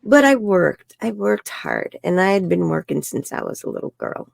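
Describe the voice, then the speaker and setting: Gravelly older New woman. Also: on the low side, sing-song, moderate pace, no echo, studio quality. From New York. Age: 70s. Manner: frank and chatty, laughs often, says "bloody". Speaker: guest. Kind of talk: candid personal storytelling in an interview.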